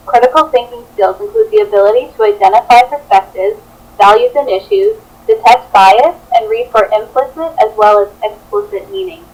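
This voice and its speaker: Woman, young adult, fairly high pitch, student teacher, scripted read-out presentation. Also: a little mellow, poor audio. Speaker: student teacher presenting a children's book project.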